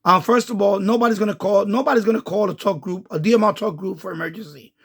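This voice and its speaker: A man's voice: sits high, fast, a bit bright, slightly rough. A man hosting a ham radio channel, talking casually and rambling with many restarts and repeats. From United States. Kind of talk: casual commentary to camera.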